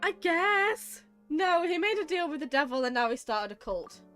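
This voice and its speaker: A woman giving casual, expressive gameplay commentary as a psychologist streamer. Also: very high-pitched, normal speed. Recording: game music behind. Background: game music.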